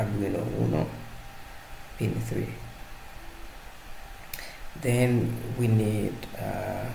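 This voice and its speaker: Very slow, gravelly, halting man. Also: on the low side, a little mellow. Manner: halting, searching for words, repeating himself. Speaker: presenter of an electronics tutorial. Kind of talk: casual unscripted hands-on tutorial. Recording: roomy echo, faint background noise.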